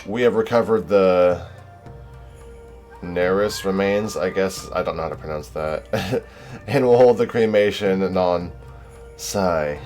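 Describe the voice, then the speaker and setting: A young man with a low voice streams a game. He talks casually and easily in friendly slang, with music playing in the background. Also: slow, a little mellow. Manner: laid-back, friendly slang. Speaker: game livestreamer. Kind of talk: casual chat while playing.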